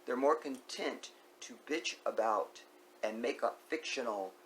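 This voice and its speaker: A man vlogging an opinionated monologue. He repeats key phrases, asks the viewer rhetorical questions and sometimes sinks to a hushed whisper. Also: mid-range voice, a little slow, a little hiss or hum.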